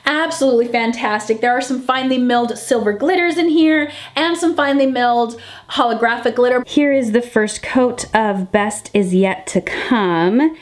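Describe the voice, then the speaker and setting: A woman's voice: fairly high pitch, normal speed. A woman reviewer giving a casual product review and tutorial in a chatty, gushing way, repeating words for emphasis. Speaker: nail polish reviewer and vlogger.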